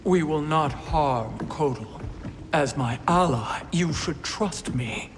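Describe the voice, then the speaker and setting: An actress playing a stern, commanding warrior royal in a video game cut-scene, speaking formally and imperiously. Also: female voice, sits low, takes it slowly, background noise.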